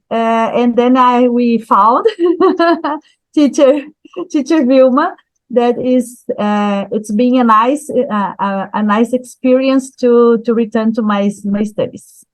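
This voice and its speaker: A Brazilian woman in her fifties introduces herself in slow, hesitant English on a video call, stopping often to find her words. Her voice sits fairly high and sounds dry and close. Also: no echo, poor audio. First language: Portuguese. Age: 50s. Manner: hesitant, searching for words, with fillers. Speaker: student.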